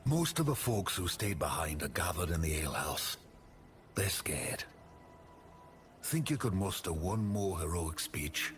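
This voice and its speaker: A man's voice: sits low, big pitch swings, unhurried, faint music behind. A man voicing a plainspoken, loyal town militiaman in a video game. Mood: relieved, worried.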